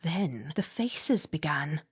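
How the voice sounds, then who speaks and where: A woman narrating classic literary fiction as a dramatic audiobook reading, expressive and measured, with theatrical emphasis. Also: deep voice, breathy edge.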